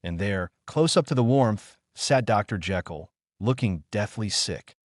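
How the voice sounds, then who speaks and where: A man narrating a dramatic audiobook in a measured, formal literary manner. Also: fairly low pitch, expressive, no echo, studio quality.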